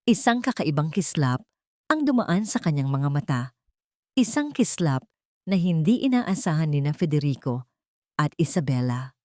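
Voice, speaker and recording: Low-pitched woman narrating a dramatic story. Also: darkish tone, no echo, clean studio sound.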